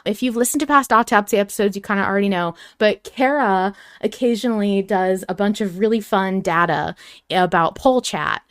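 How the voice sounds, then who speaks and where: A woman's voice: medium pitch, moderate pace, fairly bright tone, clean tone. A woman hosting a podcast, talking casually and with a fan's enthusiasm about a fiction show. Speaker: podcast host.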